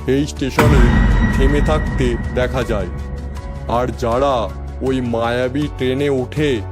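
A man's voice: medium pitch, close to an everyday voice. A man playing a grave, superstitious railway station master who speaks solemnly and ominously in a cartoon ghost story. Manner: slow, solemn, ominous delivery. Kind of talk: acted dialogue in cartoon horror story.

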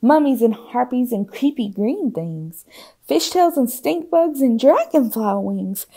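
A woman reads a children's storybook aloud in a high, very soft-toned voice. Her delivery is expressive and theatrical, shifting between hushed and fuller phrases for effect. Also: unhurried, creaky. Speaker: storytime reader. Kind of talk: expressive children's picture-book read-aloud.

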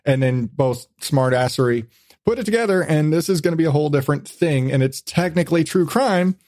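A man's voice: on the high side, animated, brisk, a little mellow, no echo. A man speaks as a podcast host in a very dry recording with no room sound. His talk is casual and rambling, feeling its way through each thought with frequent rewording. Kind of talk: casual conversational podcast chat.

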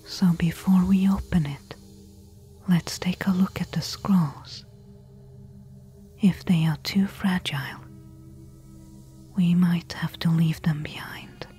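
A woman playing a careful, eager temple explorer in a soft-spoken ASMR audio roleplay, hushed and deliberate. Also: on the low side, fairly even intonation, faint music behind. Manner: hushed, slow, deliberate whispering.

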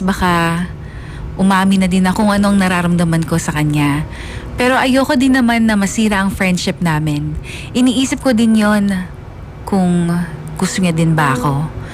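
A woman's voice: mid-range voice, slight background noise, lightly acted. A woman playing a wistful young college woman who tells her love story as confessional radio drama narration. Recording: music bed under the voice.

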